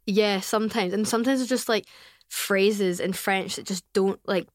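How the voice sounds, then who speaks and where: A Glaswegian woman with a mid-range voice chats as a podcast guest, spinning family stories in a lively, casual flow peppered with "like". She laughs often, and the sound is dry and close. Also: mid-range voice, normal speed, dry recording. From Glasgow, Scotland. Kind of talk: casual interview chat.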